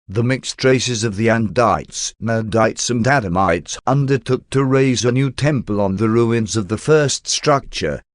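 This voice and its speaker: A man reads aloud in the style of a formal audiobook. His voice sits on the low side, and the delivery is measured and expository. The sound is dry, with little room around it. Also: dry recording. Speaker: audiobook narrator. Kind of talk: scripted narration, reading a religious text aloud.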